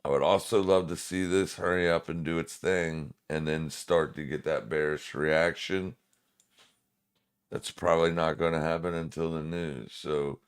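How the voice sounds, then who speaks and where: A man's voice: extremely low pitch, clear, resonant tone. A man with a smoker's voice giving casual, laid-back live market commentary to viewers as a streaming trading host.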